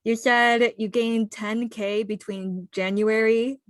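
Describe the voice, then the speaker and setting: A woman's voice: a little high, steady tone, slow, slight background noise. A woman in her twenties with an American accent, co-hosting a podcast in an informal, upbeat, chatty way. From United States. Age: 20s. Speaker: podcast co-host. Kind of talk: casual chat.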